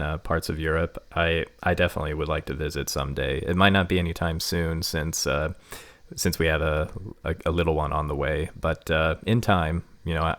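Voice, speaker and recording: Very deep-voiced Midwestern man, halting. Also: fairly bright tone. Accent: Midwestern American. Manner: hesitant, heavy with filler words. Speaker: podcast host. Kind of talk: casual interview chat.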